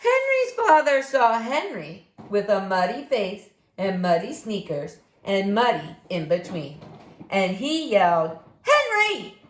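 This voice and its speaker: A woman reading a storybook aloud to young children in an expressive, emphatic, deliberate way. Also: mid-range voice. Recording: roomy echo, faint background noise.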